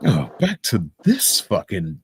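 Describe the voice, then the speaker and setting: A man's voice: lowish voice, huge pitch swings, takes it slowly, husky. A man hosting a livestream with casual reaction commentary, mocking, incredulous and profane in his delivery. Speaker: livestream host.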